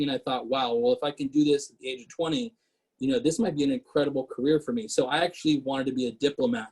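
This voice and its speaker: A man in his thirties whose first language is Portuguese, speaking as a guest on a video call in a relaxed, conversational way with many fillers and restarts. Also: mid-range voice, slightly rough, poor audio. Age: 30s. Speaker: guest speaker, city council member. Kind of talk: casual webinar talk.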